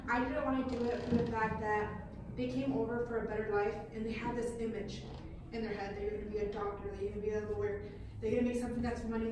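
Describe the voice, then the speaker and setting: A woman's voice: on the high side, noisy background. A young woman panelist speaking earnestly in a panel discussion, recorded from far off in a large hall. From United States. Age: young adult. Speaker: panelist, former art student. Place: large hall with brick walls. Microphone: far room mic.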